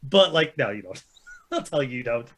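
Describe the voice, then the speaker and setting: A man's voice: mid-range voice, very animated, moderate pace, fairly bright tone. A man on a panel, debating and ranting casually in an emphatic, argumentative, profane manner.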